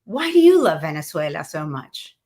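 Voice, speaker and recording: A woman's voice: low-pitched, big pitch swings, moderate pace, darkish tone. Bubbly, laughing woman host. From Cuba. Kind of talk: casual chat.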